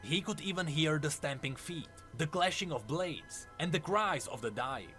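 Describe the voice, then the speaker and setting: A man's voice: a little high, animated, moderate pace, music in the background. A man narrating a scripted story in a solemn, theatrical way. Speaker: lore narrator.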